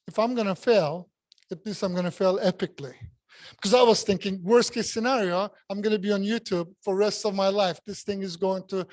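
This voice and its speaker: A man's voice: high-pitched, dry recording. A man who speaks English as a second language, giving a jokey, self-mocking speech at a club meeting and repeating words for emphasis. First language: not English.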